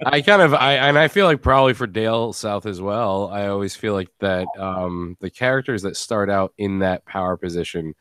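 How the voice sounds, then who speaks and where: Low-voiced man hosting, dry close sound. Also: moderate pace, a bit bright, smooth, dry recording. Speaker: podcast host. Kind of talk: casual post-show discussion.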